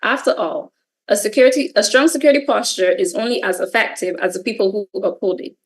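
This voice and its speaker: A woman's voice: mid-range voice, quick pace. A woman with a Barbadian accent giving a scripted, articulate presentation as a guest speaker in an online session. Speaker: guest speaker, cybersecurity lead.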